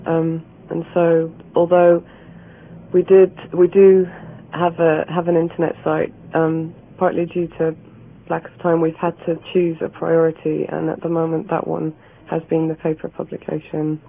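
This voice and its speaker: Dutch-born female editor, flat gravelly delivery, lo-fi phone-like broadcast. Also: deep voice, moderate pace. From Netherlands. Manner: thoughtful, repeats words while searching. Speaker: magazine editor. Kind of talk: interview answers. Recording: tinny phone-like radio sound.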